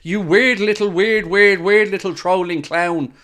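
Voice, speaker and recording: A man's voice: high-pitched, fairly even intonation, measured pace, fairly bright tone. A man hosting a live football watchalong, ranting in exasperated, sarcastic reaction to his team's play. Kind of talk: live match commentary and reaction.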